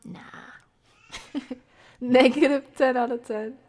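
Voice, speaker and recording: A woman's voice: high voice, steady tone, takes it slowly, a little mellow. A woman hosting a livestream, chatting casually as she reacts to videos and reads out comments. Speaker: livestream host.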